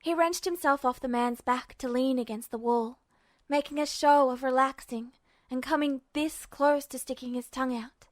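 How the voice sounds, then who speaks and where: A woman's voice: sits high, fairly even intonation, moderate pace, no room sound, studio quality. A woman reading scripted fiction as an audiobook-style narrator. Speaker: podfic narrator.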